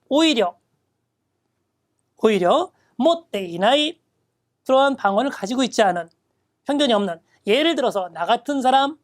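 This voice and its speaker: A woman gives an exam-prep lecture in a slightly high voice. She reads quoted phrases slowly and then explains each one step by step, keeping an instructive tone throughout. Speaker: Japanese reading test instructor.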